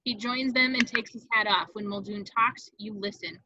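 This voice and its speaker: A woman's voice: medium pitch, moderate pace, pushed and bright, dry recording. A woman reading stage directions as the narrator of a script table read, in a slightly dull, dry home recording.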